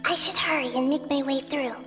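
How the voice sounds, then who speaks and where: A woman voicing a small, childlike goddess who talks in a soft, calm and earnest way. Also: high voice, fairly quick, natural voice. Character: small childlike girl goddess, gentle and curious. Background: game music.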